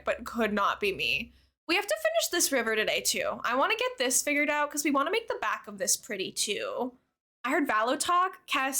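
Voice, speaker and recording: A woman's voice: a little high, pushed and bright. A young woman livestreamer chatting casually with her viewers in a bubbly, talkative way. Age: young adult. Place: live game stream.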